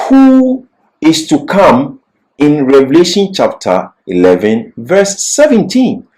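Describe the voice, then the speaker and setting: A man's voice: a little high, darkish tone, rough recording. A man giving an expository Bible lecture as a teacher, didactic and emphatic, posing questions and answering them himself.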